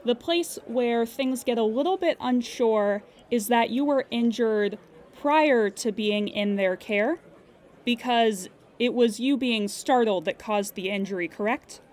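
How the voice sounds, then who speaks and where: A woman as a slow, careful claims agent. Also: fairly high pitch, a little mellow, lightly acted. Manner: measured, formal, diplomatic. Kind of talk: improvised audio drama customer-service call.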